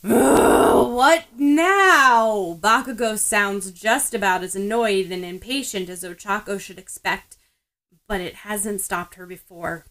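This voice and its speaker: A woman's voice: medium pitch, fairly even intonation, measured pace, fairly bright tone, poor audio. Female narrator, mid-range voice, reading prose fiction for a podfic. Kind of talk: scripted story narration.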